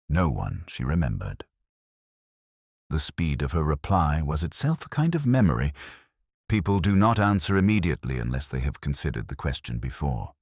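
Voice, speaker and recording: A man narrating a scripted first-person detective story in a measured, brooding, literary manner. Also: extremely low pitch, no echo, clean studio sound.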